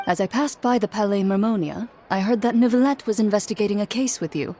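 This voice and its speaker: A woman's voice: medium pitch, fast, warm tone, slight background noise, close to an everyday voice. A woman voicing a cool, composed female guardian in a video game, formal and matter-of-fact. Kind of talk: video game character dialogue.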